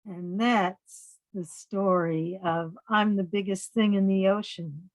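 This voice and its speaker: A woman storyteller reading picture books aloud to young children, pausing to ask them simple questions. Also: mid-range voice, steady tone, no echo.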